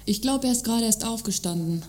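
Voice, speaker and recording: A woman's voice: on the high side, fast, a little mellow, natural voice. A woman acting a polite visitor with dry, knowing remarks in a satirical radio play. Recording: roomy sound with faint noise.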